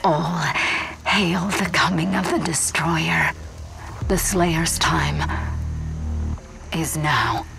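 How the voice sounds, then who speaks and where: An actress playing a solemn scientist and awed doomsday believer, dictating a grave video game audio log. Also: female voice, low voice, gravelly, over music, exaggerated voice. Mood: reverent, grave. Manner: grave, reverent, deliberate delivery. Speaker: scientist recording audio logs. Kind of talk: solemn recorded log monologue.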